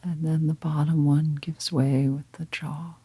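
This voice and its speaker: A woman leading a guided meditation in a calm, gentle, breathy half-whisper, unhurried and with long pauses. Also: low-pitched, no room sound. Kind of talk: guided meditation, slow soft-spoken instruction.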